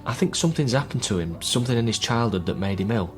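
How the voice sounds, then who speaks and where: A man's voice: medium pitch, animated, fast talker, close to an everyday voice. An actor playing a worried, guarded British man in a naturalistic radio drama, speaking in an earnest, hesitant way. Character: worried, guarded divorced son caring for troubled father. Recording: faint music under radio drama.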